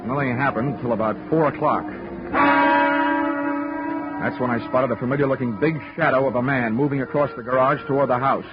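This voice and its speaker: A man's voice: medium pitch, fairly even intonation, brisk, pauses often, close to an everyday voice. A man playing a wisecracking hard-boiled investigator. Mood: wry. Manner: dry wisecracking first-person narration. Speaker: narrator and lead. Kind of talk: 1940s radio acting. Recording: lo-fi 1940s radio broadcast with music.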